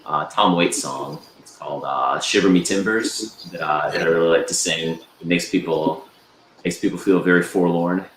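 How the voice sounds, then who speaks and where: A man with a deep voice chatting casually as a guest on a video call, relaxed and rambling with many fillers. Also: echoey room, slight background noise, rough recording. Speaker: guest presenter.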